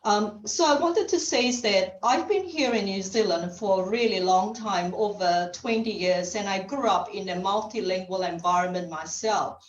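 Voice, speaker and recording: A woman's voice: medium pitch, moderate pace, few pauses, far from the mic. A woman from Singapore giving an unscripted submission at a council hearing over a video call, hesitant, with many fillers and restarts. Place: remote, speaking via video call. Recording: echoey, dull video-call audio.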